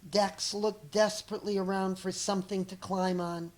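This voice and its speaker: A middle-aged woman reading a children's storybook aloud in an expressive, deliberate teacher's manner. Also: mid-range voice. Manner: slow, deliberate, acts out dialogue.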